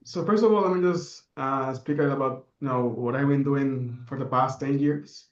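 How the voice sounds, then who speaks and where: A young man from the Dominican Republic speaks as a podcast guest, reflective and earnest and full of fillers. His voice sits at an everyday middle pitch. The sound is roomy and a little dull, as if recorded remotely. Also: moderate pace. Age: young adult. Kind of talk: interview answers.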